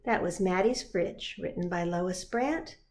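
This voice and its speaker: A woman pastor reading a children's picture book aloud in an expressive storytelling style. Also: lowish voice, a little slow.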